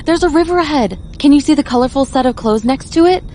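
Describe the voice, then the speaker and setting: A practical, sharp-tongued young wife from an audio drama. Her voice sits on the higher side. She talks in a brisk, impatient, no-nonsense way, quick to sound exasperated. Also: expressive, a little hiss or hum. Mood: alarmed, baffled.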